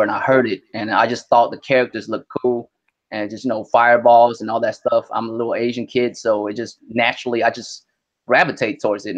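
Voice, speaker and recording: Gravelly, animated man chatting casually. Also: mid-range voice, lo-fi sound. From Vietnam. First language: Vietnamese. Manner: animated, slangy, lots of "you know what I mean". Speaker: guest. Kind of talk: casual interview chat.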